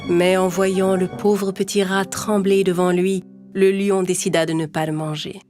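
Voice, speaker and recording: Low-pitched woman narrating a children's fable. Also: level tone, a little slow, darkish tone. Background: music.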